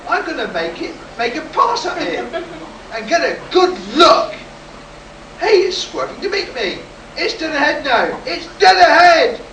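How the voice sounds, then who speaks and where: An actor in a live amateur radio play, playing a daring, excitable test pilot reporting from his cockpit. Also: very high voice, a little slow, heavily acted. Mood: excited, determined. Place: live stage at a convention. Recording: distant, echoey live hall recording with noise.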